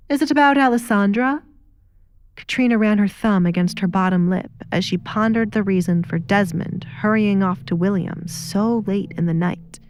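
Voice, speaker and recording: A woman's voice: medium pitch, darkish tone. A woman reading scripted, dramatic narration for an audio story.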